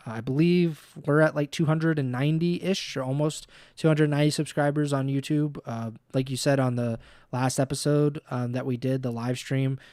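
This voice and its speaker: A man co-hosting a livestreamed podcast in casual chat, easygoing and filling pauses with uh and um. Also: medium pitch, a little mellow, dry recording, studio quality. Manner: easygoing, many uh and um fillers. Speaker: podcast co-host.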